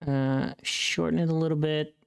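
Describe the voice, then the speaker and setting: A streamer of mid-range pitch chatting casually and muttering to themselves while working, often repeating words. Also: flat delivery, moderate pace, vocal fry, clean tone.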